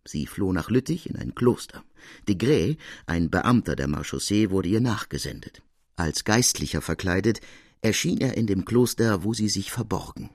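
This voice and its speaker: A man reading a classic literary tale as narrator, in measured, formal storytelling prose. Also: fairly low pitch, animated, breathy edge, dry recording, clean studio sound.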